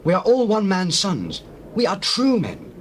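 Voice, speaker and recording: An actor playing a humble, earnest traveller pleading emphatically and declaiming in a scripture drama. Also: male voice, sits high, wildly swooping pitch, measured pace, full character voice. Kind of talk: classical dramatized scripture reading. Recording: faintly noisy old cassette with ambience.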